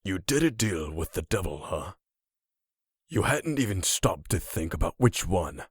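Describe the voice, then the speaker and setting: A sly old street bum who knows too much in a comic dub. His voice is low and raspy, with big swings in pitch. He talks down to his listener in a folksy way. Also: very animated, measured pace, dry recording, clean studio sound, heavily acted. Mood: lecturing. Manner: folksy, condescending. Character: sly, knowing old male vagrant mentor.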